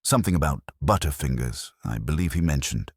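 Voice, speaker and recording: A man's voice: extremely low pitch, animated, brisk, slightly rough, no room sound, studio quality, exaggerated voice. A man voicing a mild, shrewd police inspector in a storybook mystery, understated and drily insinuating. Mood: mildly probing. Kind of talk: storybook mystery character reading.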